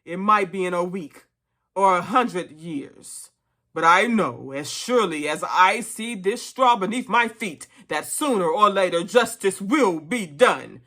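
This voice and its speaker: A reader voicing an elderly boar, a solemn revolutionary orator addressing fellow animals in a storybook, with deliberate, emphatic delivery. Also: mid-range voice, expressive, pushed and bright, heavily acted. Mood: rousing, urgent. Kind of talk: dramatic storybook reading of a speech.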